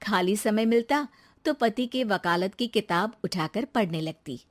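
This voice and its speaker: A woman's voice: mid-range voice, animated, measured pace. A woman storyteller reading scripted narration for a moral story.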